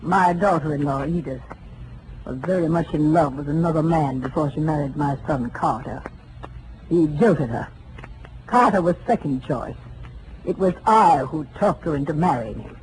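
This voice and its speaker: A woman's voice: low voice, moderate pace, darkish tone, natural voice. Actress as commanding, vengeful older society woman. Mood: cold, vengeful. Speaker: radio drama actor. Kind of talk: 1940s radio melodrama acting. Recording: faintly noisy vintage radio recording.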